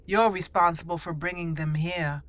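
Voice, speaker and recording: A woman's voice: on the low side, fairly even intonation, moderate pace, a bit bright, lightly acted. A woman voicing a stern, skeptical elf mage. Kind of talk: roleplaying in a video game.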